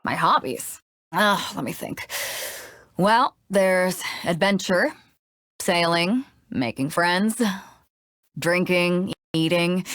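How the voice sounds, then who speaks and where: A woman's voice: medium pitch, a bit bright, no echo, clean studio sound. A woman playing a bold, big-hearted ship captain for a video game, with a confident, frank and laid-back manner. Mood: upbeat, assured. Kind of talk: video game character voice lines.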